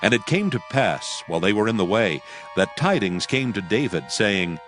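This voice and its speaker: A man's voice: fairly low pitch, sing-song, normal speed, fairly bright tone. A man giving a solemn scripted narration of scripture in archaic English. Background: faint music.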